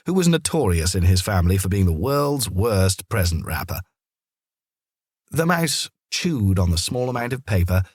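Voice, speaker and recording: A man narrating a children's story from a script in a gentle, expressive storytelling manner. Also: on the low side, breathy edge, no echo, clean studio sound.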